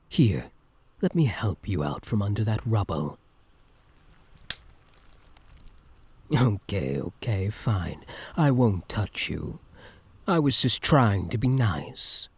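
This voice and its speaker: A man playing an obsessive, infatuated supervillain in an intimate ASMR roleplay, soft-spoken and teasing, with a breathy voice that dips into whispers. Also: fairly low pitch, heavily acted. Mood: feigned concern. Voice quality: breathy, gravelly, dropping at times into whispers. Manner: teasing, unhurried, falsely gentle.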